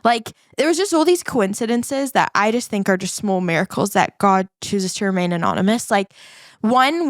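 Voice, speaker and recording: A woman in her thirties with a New Zealand accent, a podcast guest telling her life story in a chatty, animated way. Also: mid-range voice, dry recording, clean studio sound. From England, raised in New Zealand. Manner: animated, chatty storyteller using many likes. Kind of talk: personal storytelling.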